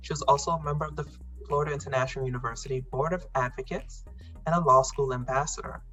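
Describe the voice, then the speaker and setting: A woman podcast host with a husky voice, giving scripted introductions and interview questions. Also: very deep voice, fairly even intonation, fast, fairly bright tone, faint music behind, poor audio.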